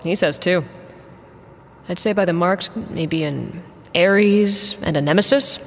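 A woman's voice: on the low side, slow, big-room sound, a little hiss or hum. A woman playing a guarded, blunt, loyal mercenary pilot in an audio drama, with a dry manner. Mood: wry, questioning.

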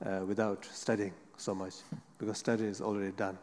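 Soft-spoken monk with halting English, hall reverb. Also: male voice, on the low side, little pitch movement. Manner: halting non-native English with many pauses and "you know". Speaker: Buddhist monk panelist. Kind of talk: unscripted panel talk. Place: seated on a large theater stage.

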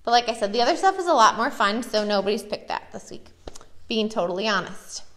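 A woman's voice: fairly high pitch, expressive, a little fast, slight background noise. An American woman, a preschool teacher, chatting enthusiastically and casually as she shows classroom activities.

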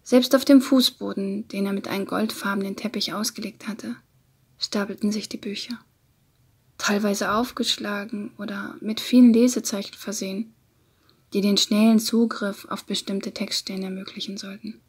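Woman reading a novel, breathy and whispery, fry in the voice. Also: mid-range voice, steady tone, normal speed. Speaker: audiobook narrator. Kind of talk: scripted literary narration.

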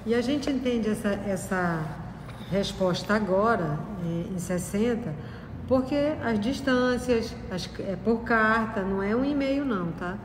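A woman's voice: mid-range voice, lively intonation, measured pace. A woman in a study group who adds short explanations and answers. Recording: echoey room with clear background noise.

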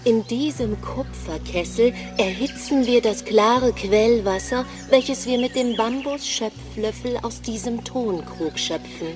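A woman as a slow, serene android hostess. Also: fairly high pitch, lightly acted. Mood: gracious, calm. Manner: slow, ceremonial, gracious. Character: serene, graceful female alien android ambassador hosting a tea ceremony. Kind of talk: radio drama acting. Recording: music behind the voice.

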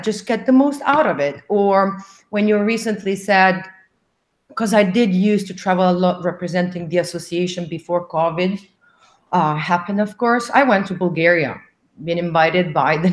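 A middle-aged woman, an English speaker, explaining things in a measured way as a guest on a video call. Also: on the low side. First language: not English. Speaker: association president, interview guest. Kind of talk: interview talk on a video call. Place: indoor room. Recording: echoey room over video call.

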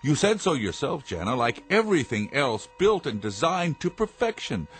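Controlling inventor patriarch, formal and commanding, very dynamic delivery, music underneath. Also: male voice, fairly high pitch, very animated, normal speed. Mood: proud, controlled. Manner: commanding, formal, precise diction. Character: stern, controlling inventor father, proud of his creations. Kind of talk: radio drama acting.

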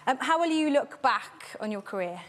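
A woman's voice: high-pitched, animated, moderate pace. Teasing Welsh woman presenter. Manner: bright, teasing interviewer. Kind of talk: studio interview. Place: TV studio with audience. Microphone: lapel.

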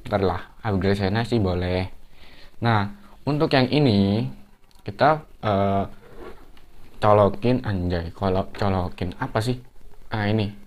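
A man's voice: fairly low pitch, slow, long pauses, a little mellow. A young man, giving a casual, hands-on vlog tutorial at home in a chatty, slangy way full of fillers. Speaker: motovlogger. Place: living room at home, indoors.

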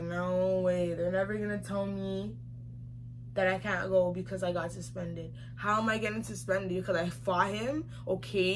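A woman's voice: mid-range voice, sharp tone. A young woman telling a story casually to camera, emphatic and slangy, repeating phrases for effect. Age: young adult. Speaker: vlogger. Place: small room indoors, seated facing camera. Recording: clearly noisy home recording.

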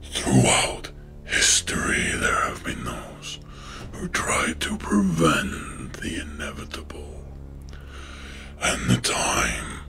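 An ancient villain lord speaks in a gravelly whisper, drawing out each portentous phrase very slowly. He is grandiose and menacing, a cold commander from a horror short, with music behind him. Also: very deep voice, bright, forceful tone, full character voice. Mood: brooding, ominous. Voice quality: raspy, croaking whisper. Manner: slow, portentous, drawn-out phrases.

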